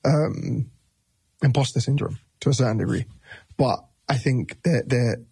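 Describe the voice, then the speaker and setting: A man's voice: medium pitch, unhurried, gravelly, mellow, husky, no echo. A man in his thirties, a musician guest, talking casually in an interview, loose and rambling with many restarts.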